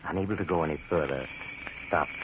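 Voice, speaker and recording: An actor playing a sinister male horror host in a 1940s radio drama, telling the story slowly and ominously. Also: a bass voice. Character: sinister male host Doctor Weird, deep and mocking. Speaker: host narrator. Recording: dull old radio broadcast with hiss.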